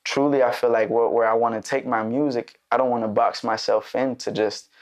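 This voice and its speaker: A man's voice: mid-range voice, fairly quick. A young man born in Mexico and raised in Chicago, a musician guest chatting loosely in a studio interview, full of fillers and restarts. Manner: relaxed, rambling, many fillers and restarts. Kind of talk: casual interview chat.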